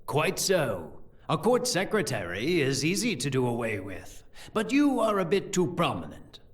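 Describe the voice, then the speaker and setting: An actor playing a scheming, sardonic court priest in an audio drama, measured, theatrical and condescending. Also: male voice, on the high side, wildly swooping pitch. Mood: wry resignation.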